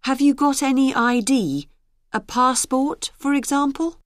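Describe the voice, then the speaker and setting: A woman reading short example sentences clearly and expressively for a language course. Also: high-pitched, a little slow, dry recording. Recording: dry studio recording.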